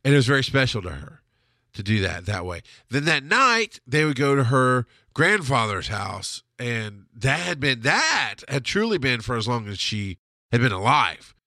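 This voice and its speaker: A man's voice: medium pitch, very animated, normal speed, sharp tone, no echo, studio quality. Stuffy-nosed man rambling on a podcast. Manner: rambling, profane, laughs often. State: stuffy nose. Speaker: podcast host. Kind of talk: casual storytelling monologue. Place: home studio.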